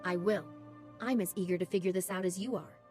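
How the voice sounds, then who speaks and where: An actress playing an earnest, determined young adventurer in a fantasy video game, speaking matter-of-factly. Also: female voice, fairly low pitch, a little fast, a little mellow, soft background music, natural voice.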